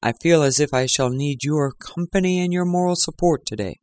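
A reader voicing a measured, dryly ironic male detective in a storybook reading. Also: mid-range voice, a little mellow, no room sound, lightly acted.

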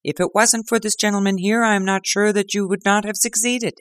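A man voicing a gruff, excitable male police inspector in an audiobook reading, loud and blustering. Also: moderate pace, no room sound, studio quality, natural voice. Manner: loud, blustering, high-pitched.

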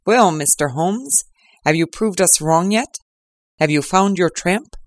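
A reader voicing a pompous, triumphant male police inspector who talks loudly and gloats. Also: mid-range voice, animated, a little slow, no room sound, studio quality, lightly acted. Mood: gloating. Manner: loud, gloating, crowing.

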